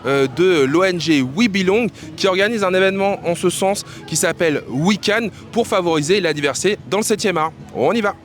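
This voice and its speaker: A man's voice: high voice, fairly quick, few pauses, over music. A man hosting street interviews at a film festival, asking upbeat, chatty questions. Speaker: host. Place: outdoors in a city.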